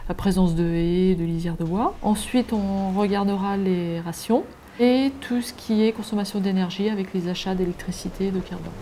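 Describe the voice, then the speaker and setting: A woman's voice: medium pitch, moderate pace, mellow, slight background noise. Methodical woman farm adviser. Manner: methodical, explanatory, many fillers. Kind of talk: explaining audit results. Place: farmhouse kitchen table.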